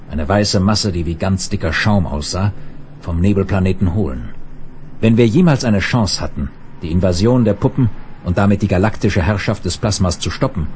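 An actor playing a grave, authoritative space leader and narrator in a German radio drama, in standard German. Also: male voice, deep voice, darkish tone, slight background noise, close to an everyday voice. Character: commanding space administrator hero, grave and authoritative. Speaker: lead character and first-person narrator.

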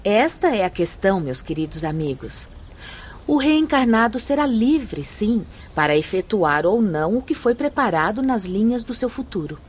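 A woman playing a serene, learned spirit guide in a radio drama, speaking in a formal, measured, instructive and firm manner. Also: medium pitch, sing-song, a little hiss or hum, lightly acted. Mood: solemn, assured. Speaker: actress in a radio play. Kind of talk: radio drama acting, solemn and didactic.

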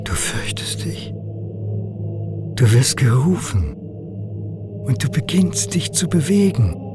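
Radio play: portentous, dreamlike father, very slow with animated melody, incantatory. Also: on the high side, music in the background. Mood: solemn, eerie. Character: solemn, eerie fatherly figure in a vision. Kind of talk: slow, dreamlike radio drama over music.

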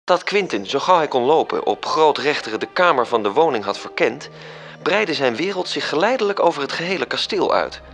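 A man's voice: on the high side, big pitch swings, a little fast, fairly bright tone. An actor narrating a radio drama as an angel telling a story to his superior. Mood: calm.

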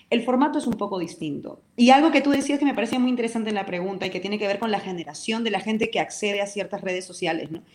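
A woman from Peru with a Peruvian accent, a guest in a radio interview who speaks her opinions in a vehement, passionate way. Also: medium pitch. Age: adult with a decade living abroad. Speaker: interview guest, talk show host and commentator. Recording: roomy echo.

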